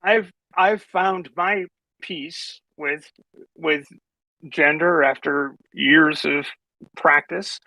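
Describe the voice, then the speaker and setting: Man, gravelly and bright voice, slow halting delivery, dry mic. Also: fairly high pitch, fairly even intonation, no echo, poor audio. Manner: hesitant, halting. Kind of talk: casual spoken debate.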